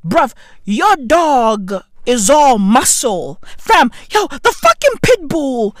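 A man, doing a comedic rant full of slang and sudden shouts. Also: very high-pitched, sing-song, slow pace, dry recording, studio quality. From South Africa. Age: 30s. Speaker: comedy podcast host.

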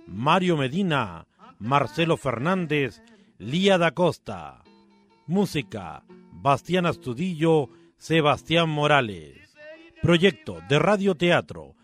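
A man with a Chilean accent formally reading scripted radio credits as an announcer. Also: a little high.

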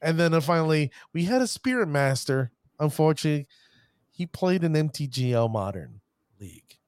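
A fairly high-voiced man runs a casual card-game show, pumping up his shout-outs with showman phrases. He stumbles over names and pushes on. The recording is dry and close with no room sound. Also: big pitch swings, moderate pace, dry recording. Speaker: podcast host. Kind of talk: hype-filled casual show talk.

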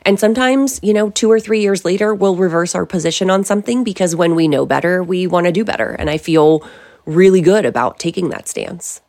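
A woman's voice: fairly low pitch, fairly quick. A middle-aged woman, a company founder, answering interview questions in a confident, emphatic conversational way. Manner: confident, emphatic, lively asides.

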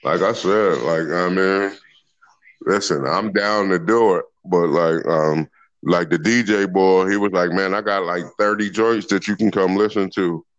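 Casting: relaxed podcast co-host, lowish voice, slangy drawl, dull lo-fi sound. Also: male voice, no room sound. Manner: laid-back, slangy, drawn-out speech. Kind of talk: casual banter.

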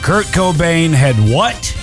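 A man's voice: fairly high pitch, animated, takes it slowly, over music. A middle-aged man co-hosting a casual podcast with dry, teasing banter, on a studio mic. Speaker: podcast co-host.

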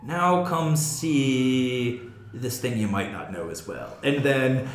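A man's voice: medium pitch, slow. An American man hosting a casual panel discussion, thinking aloud and putting questions to the group. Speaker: podcast host and theater producer. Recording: echoey room.

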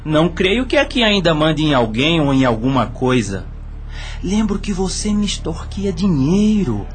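A man's voice: fairly high pitch, big pitch swings, unhurried. An actor playing a bitter, accusing dead man with a Brazilian accent in a radio drama. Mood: scornful, accusing. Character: bitter, scornful murdered man confronting a corrupt official. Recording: music under the voice.

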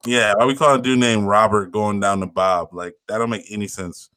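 A man's voice: lowish voice, steady tone, a little fast, pushed and bright, no echo. A young man co-hosting a podcast, chatting in an easygoing, slangy, rambling way. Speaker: podcast co-host. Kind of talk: casual chat.

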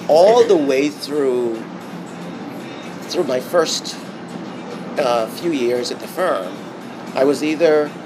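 A Bahamian man giving an interview, speaking reflectively with many pauses and restarts. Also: mid-range voice, music in the background, low-quality recording. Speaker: interview guest. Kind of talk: casual interview talk. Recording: steady background noise.

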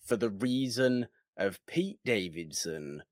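A man co-hosting a podcast, giving casual film commentary in deliberate, halting, emphatic phrases. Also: fairly low pitch, expressive, dry recording, studio quality. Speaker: podcast co-host.

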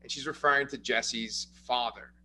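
A man on a movie podcast lays out the story in a measured, explanatory way and drops in plenty of agreeing "yeah"s. His voice is bright and forceful. A faint hum runs underneath. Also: mid-range voice, steady tone, low-quality recording. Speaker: podcast co-host. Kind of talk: casual movie review chat.

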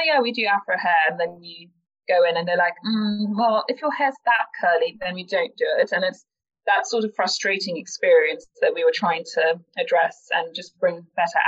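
A woman's voice: on the low side, dull audio. A woman talking casually as a guest in a podcast interview. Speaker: interview guest, company founder.

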